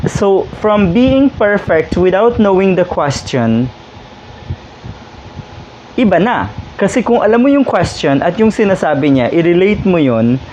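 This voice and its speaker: A man's voice: sits high, a little mellow. A man with a Filipino accent giving chatty, opinionated video commentary, throwing out emphatic rhetorical questions as host. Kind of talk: informal video commentary, mixing Tagalog and English. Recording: dull lo-fi sound, faint background noise.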